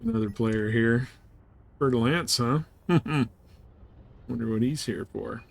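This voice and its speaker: A man's voice: mid-range voice, measured pace. Laid-back guy streaming a game, talking casual to his chat. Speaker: live streamer. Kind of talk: casual gaming livestream chat.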